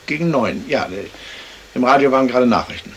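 An actor playing a polite, cooperative gentleman in a 1970s German radio crime drama, measured and somewhat hesitant. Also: mid-range voice, animated, slightly rough, low-quality recording. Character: cooperative gentleman witness, member of a yacht party.

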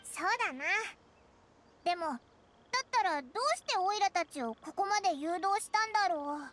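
A woman voicing a tiny, blunt, excitable fairy companion in an anime game, with a squeaky childlike voice and tomboyish, fussy manner. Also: fairly quick, noisy background. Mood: puzzled. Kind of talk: Japanese anime game voice acting.

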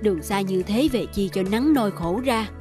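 A woman playing a caring wife in an animated folktale, homely and solicitous in manner. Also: medium pitch, normal speed, soft background music, lightly acted. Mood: concerned.

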